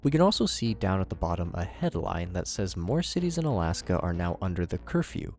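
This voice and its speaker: A man with a fairly low voice presents scripted commentary as a video host. His delivery is conversational and very lively in pitch, moving expressively through each sentence. Music plays faintly behind him. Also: brisk. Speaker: YouTube host, horror series reviewer.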